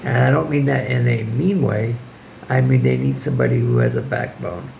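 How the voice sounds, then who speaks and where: A man who teaches English gives casual, firm classroom advice in a hoarse voice that keeps giving out, often checking in with the listener. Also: medium pitch, lively intonation, moderate pace, darkish tone, slight background noise. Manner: informal, firm, checks in with "okay?". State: hoarse, losing his voice.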